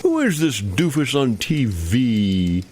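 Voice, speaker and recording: An actor playing a pompous, corrupt senator in a radio comedy, with a blustering, indignant manner. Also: male voice, medium pitch, big pitch swings, takes it slowly, a little mellow, breathy edge, full character voice. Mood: irritated. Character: pompous, corrupt, self-satisfied male senator candidate. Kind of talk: comedy radio theater acting.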